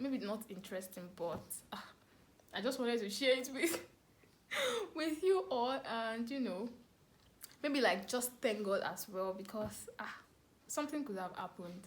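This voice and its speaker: A young woman with a Nigerian accent telling a personal story casually and expressively to camera, repeating phrases for emphasis. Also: mid-range voice. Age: young adult. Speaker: vlogger, storyteller.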